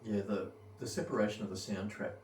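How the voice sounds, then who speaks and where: Low-voiced man, roomy distant recording. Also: fairly quick, darkish tone. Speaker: headphone reviewer and gamer. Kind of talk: casual rambling review and gameplay commentary. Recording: roomy, distant mic with faint background noise.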